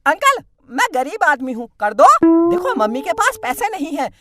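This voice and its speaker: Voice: sits high, big pitch swings, normal speed, heavy background noise. A performer voicing a cheeky little boy in a comedy cartoon, pleading in a whiny, wheedling way and repeating the begging.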